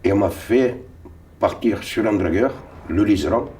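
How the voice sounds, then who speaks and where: A man's voice: on the low side, a little slow, dark tone. An older man from Tunisia, whose first language is Sicilian, recalls his navy days in a rambling way, often repeating and restarting words. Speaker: interviewee. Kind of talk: recounting memories. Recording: voice a little far from mic.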